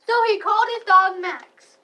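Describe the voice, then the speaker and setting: Young girl narrator, very high and bright, reading a storybook from a distance to the mic. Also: normal speed. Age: child, about 11 to 12. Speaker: student narrator. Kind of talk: readers theater story narration.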